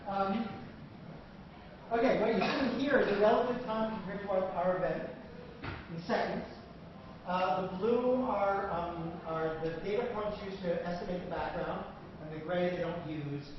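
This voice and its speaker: A man giving a physics seminar and answering questions, telling stories with a joking, animated manner. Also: high voice, normal speed. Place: lecture hall. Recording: echoey hall recorded from far off, dull and noisy.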